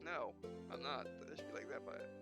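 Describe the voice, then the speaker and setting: A man's voice: mid-range voice, big pitch swings, a little fast, creaky. A man casually commenting on a game he is playing, rambling and often restarting his phrases. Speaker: let's play host. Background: game music.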